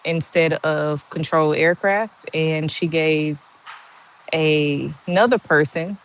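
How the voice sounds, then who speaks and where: A woman in her forties with a monotone voice, chatting slowly and candidly on a livestream and answering viewers' comments. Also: low voice, rough recording. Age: 40s. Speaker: livestream host. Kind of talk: casual live chat answering viewer comments.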